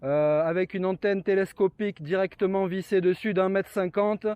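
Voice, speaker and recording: A man's voice: sits high, fairly even intonation, normal speed, clean tone, dull audio. A man operating a radio, exchanging call signs and code words and repeating key phrases to confirm them.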